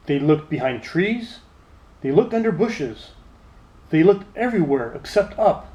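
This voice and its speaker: A man narrating a children's storybook aloud in an expressive, scripted read-aloud style. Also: on the high side.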